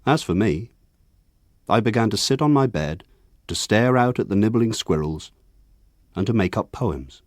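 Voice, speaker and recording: An actor playing an older man recalling his village childhood in measured, reflective, lyrical radio drama narration. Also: lowish voice, animated, no echo, studio quality, natural voice. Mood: wistful, elegiac.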